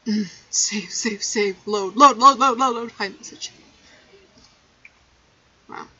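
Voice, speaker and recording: Playful young woman streamer, bright husky voice. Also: mid-range voice, slow pace, muffled recording. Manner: playful, goofy, breaks into song. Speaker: game streamer. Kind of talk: casual livestream chat. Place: bedroom.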